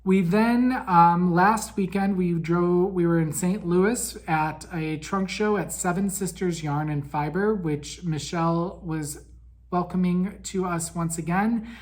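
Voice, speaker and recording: A man hosting a casual monthly podcast recap, raspy and weak in breath from a head cold, chatty with frequent ums. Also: high-pitched, takes it slowly. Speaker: podcast host.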